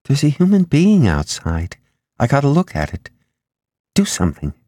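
A man voicing a flighty, pedantic, argumentative woman in an audiobook, brisk and easily distracted. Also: mid-range voice, wildly swooping pitch, dark tone, dry recording, studio quality, pitched above the performer's own voice. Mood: agitated. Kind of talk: audiobook character dialogue.

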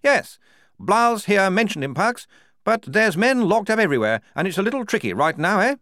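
A man voicing a blustering, hearty young army major for an audiobook, booming and jovial, tacking "eh?" onto his lines. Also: very animated, normal speed, a bit bright, no echo, studio quality. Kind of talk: audiobook character voice.

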